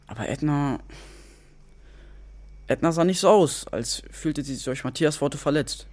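A man's voice: on the high side, a little slow, darkish tone. Exasperated, lightish-voiced teenage boy. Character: fourteen-year-old son, reasonable but exasperated. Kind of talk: children's radio play acting.

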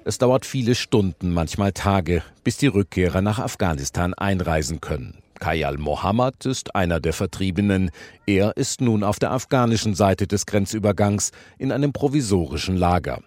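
A man's voice: low-pitched, animated, moderate pace, darkish tone, no room sound. A man reads a scripted radio news report as the reporter. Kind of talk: scripted news report narration with translated quotes read over.

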